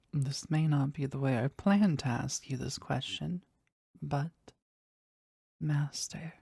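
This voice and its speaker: An actor playing a powerful, devoted demon bound to a sorcerer in an intimate romantic audio roleplay, speaking formally and tenderly in a breathy voice that often drops toward a whisper. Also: mid-range voice, warm tone, dry recording, studio quality, heavily acted. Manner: formal, slow, tender, halting with emotion.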